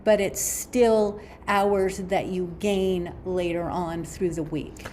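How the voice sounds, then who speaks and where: A woman's voice: lowish voice, steady tone, slow, darkish tone, slight background noise. A woman guest on a podcast giving nutrition advice in a firm, matter-of-fact way.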